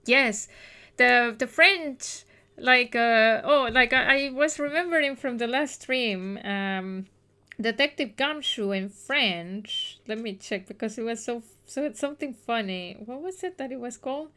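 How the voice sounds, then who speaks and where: A woman's voice: a little high, measured pace, pushed and bright, clean tone. A woman in her thirties streaming a game, chatting casually and rambling with many restarts.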